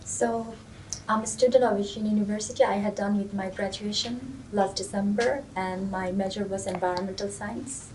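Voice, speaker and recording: A woman in her twenties from Bangladesh, a recent graduate giving quiet, brief answers. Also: mid-range voice, steady tone, moderate pace, slight background noise. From Chittagong, Bangladesh. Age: 20s. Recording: distant mic, faint ambience.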